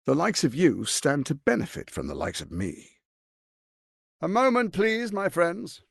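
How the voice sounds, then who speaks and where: An actor playing a suave, scheming tyrant lord in a fantasy video game, speaking in a pompous, silky, mock-courteous and theatrical manner. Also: male voice, on the high side, big pitch swings, a little slow, gravelly, slightly rough, dry recording, clean studio sound, heavily acted. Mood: grandly courteous.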